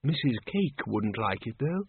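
A man voicing a very old undead wizard in an audiobook, musing wryly in a soft, hesitant, thoughtful way. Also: medium pitch, very animated, unhurried, narrow, tinny audio, slight background noise.

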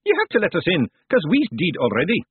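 A man's voice: sits high, wildly swooping pitch, fast talker, slightly rough, no room sound, phone-quality sound, pitched above the performer's own voice, exaggerated voice. A man voicing a small, cocky, fearless Scottish clan leader in a storybook, with brash, cheerful dialect.

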